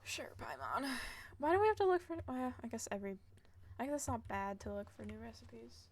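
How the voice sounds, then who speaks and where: A woman's voice: a little high, sing-song, a little fast, a little hiss or hum. A woman streaming a video game with casual live commentary and playful, sarcastic asides.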